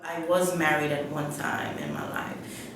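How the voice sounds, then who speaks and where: A middle-aged woman from Guyana telling her life story in a halting, plain-spoken way, repeating phrases as she goes. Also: level tone, vocal fry. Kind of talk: personal testimony, unscripted storytelling. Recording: echoey room, distant mic, rumbling noise.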